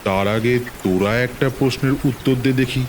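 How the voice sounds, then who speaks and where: A man's voice: medium pitch, slight background noise, close to an everyday voice. A man playing a self-assured middle-aged village tantric, telling a horror tale dramatically in a West Bengal accent. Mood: grave, reminiscing. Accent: Bengali from West Bengal, India. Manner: boastful, dramatic, unhurried storytelling. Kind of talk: dramatized horror story narration.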